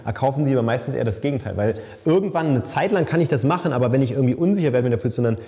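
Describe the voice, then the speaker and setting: Bike fitter guy, mellow dark voice, explains slow n thoughtful, repeats words, echoey lab. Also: medium pitch, animated. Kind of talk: casual expert explanation. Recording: long reverb in a lab room.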